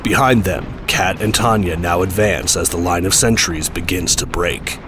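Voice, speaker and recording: A man narrating a scripted action audio drama, with loud music under his voice. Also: deep voice, moderate pace, noisy background.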